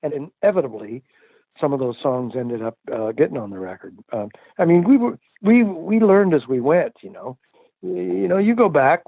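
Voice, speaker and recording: A man's voice: mid-range voice, no echo. An older male musician answering interview questions in a reflective, chatty way with frequent laughs and fillers. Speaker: musician guest. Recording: tinny phone line.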